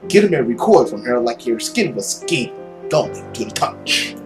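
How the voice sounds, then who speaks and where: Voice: medium pitch, very animated, normal speed, music in the background. A video host reading a visual novel aloud as a theatrical narrator, with casual asides.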